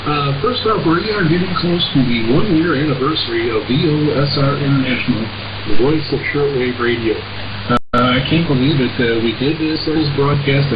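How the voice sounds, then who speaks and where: A man hosting an informal shortwave radio broadcast, reading announcements in a halting way with frequent uhs and pauses. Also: medium pitch. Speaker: radio host. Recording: hissy, muffled shortwave radio reception.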